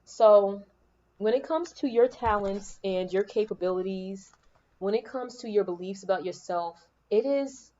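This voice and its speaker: A woman hosting a podcast of spiritual encouragement in a soothing, calming voice. She talks in an unhurried, reflective way and repeats key lines for emphasis. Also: medium pitch, highs cut off. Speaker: podcast host and spiritual coach. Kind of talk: spiritual motivational monologue. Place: room at home with air conditioning on. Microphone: laptop microphone.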